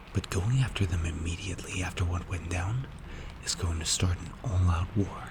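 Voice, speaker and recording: A man playing a strict, calculating mafia boss in an ASMR roleplay, speaking in a hushed, breathy, measured and menacing way. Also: low voice, noisy background. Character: strict, cold, calculating male mafia boss mentoring a protégé.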